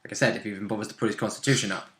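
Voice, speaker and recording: A man's voice: fairly low pitch, fairly even intonation, racing, a bit bright. A man chatting casually about the rules and story of a tabletop role-playing game as a co-host. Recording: roomy, echoing room sound.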